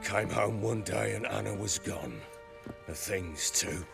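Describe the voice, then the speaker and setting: An actor playing a gruff, bitter, hot-tempered middle-aged baron and soldier in a video game, coarse and blustering in his talk. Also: fairly low pitch, slow, over music, full character voice. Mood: dark, regretful. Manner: coarse, blustering, profane storytelling. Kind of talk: dramatic video game acting.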